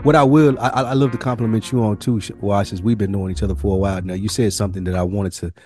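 A man's voice: on the low side, steady tone, very fast, mellow, soft background music. American man hosting, slangy and profane. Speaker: podcast host. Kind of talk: casual banter among friends.

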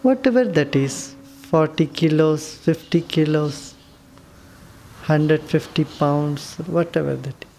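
A woman leading a guided meditation with soothing, unhurried instructions and long pauses. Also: sits low, warm tone, slight background noise.

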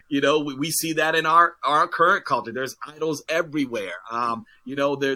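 A man's voice: a little high, sharp tone. A man, a pastor, talking earnestly in a casual discussion, with many fillers and restarts.

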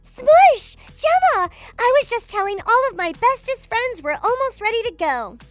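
A woman voicing a sweet, excitable young girl pop singer in a children's audio comedy, perky and gushing. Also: very high-pitched, normal speed, soft background music. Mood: excited.

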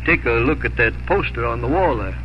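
A man's voice: medium pitch, lively intonation, a little fast, lightly acted. An actor playing a folksy, grumbling older Texan father in a 1950s radio drama. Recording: dull, noisy 1950s radio recording.

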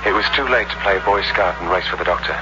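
An actor playing a scheming, terse and wry drifter who narrates a 1960s radio suspense drama in hard-boiled style. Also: male voice, low voice, fast talker, close to an everyday voice. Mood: grim, calculating. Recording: muffled old radio recording with loud music.

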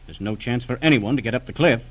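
A man's voice: medium pitch, quick pace, natural voice. An actor in a 1940s radio drama playing a guarded, uneasy middle-aged man, polite but wary and hesitant. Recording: old radio drama recording.

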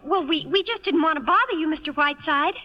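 Woman doing a shy excitable girl in an old radio comedy, really squeaky high voice, muffled sound. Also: fast talker, lightly acted. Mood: nervous, excited. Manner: stammering, girlish, deferential. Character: timid, breathless, excitable young daughter. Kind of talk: 1950 radio comedy acting. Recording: dull old radio broadcast recording.

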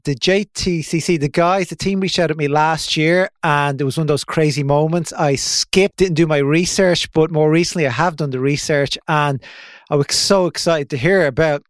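A man's voice: on the high side, moderate pace, no echo. Upbeat male podcast host, dry sound. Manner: upbeat, promotional, chatty. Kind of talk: podcast intro and interview.

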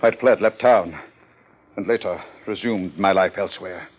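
A man's voice: low-pitched, normal speed, darkish tone, husky, lo-fi sound. An actor playing a pompous contest sponsor with murder in his past, in formal 1950s radio drama diction. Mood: resigned, confessing.

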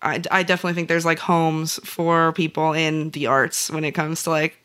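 A woman's voice: sits low, level tone, moderate pace, fairly bright tone, smooth. A woman with an American accent chatting casually as a podcast host. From central Illinois, United States. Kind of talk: casual chat.

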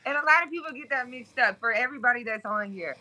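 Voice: medium pitch, animated, fast talker, pushed and bright. A guest from Toledo, Ohio, speaking bluntly and candidly in an interview, swearing freely.

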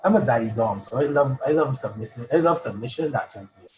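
Halting man with a dull recording. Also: mid-range voice, expressive. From Barbados. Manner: halting, many restarts and repeated words. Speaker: poet guest. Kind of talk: casual interview chat.

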